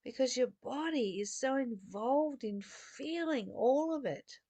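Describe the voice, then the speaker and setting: A woman giving an intimate, meditative spiritual teaching, speaking gently and affectionately to the listener in deliberate short phrases with emphatic stresses. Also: sits high, animated, no echo.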